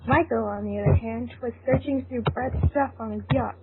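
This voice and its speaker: A woman's voice: a little high, a little fast. A woman reading scripted narration for an amateur fan fiction audio drama. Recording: tinny phone-like audio, clearly noisy.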